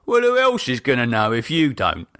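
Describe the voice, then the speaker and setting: A man's voice: medium pitch, wildly swooping pitch, normal speed, a bit bright, no room sound, clean studio sound. A man voicing a middle-aged British pub landlord in an audiobook, a teasing husband and father with a blunt, colloquial, bantering way of talking.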